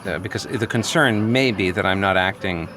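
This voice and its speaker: A man acting neurotic office worker, very deep voice, wordy, hesitant, pedantic, agitated, improvised radio comedy, noticeable urban noise. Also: normal speed, close to an everyday voice. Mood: earnest, relieved. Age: late 30s. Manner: wordy, hesitant, pedantic point-by-point self-justifying. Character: neurotic, agitated office worker newly rejecting social norms. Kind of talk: improvised audio comedy dialogue.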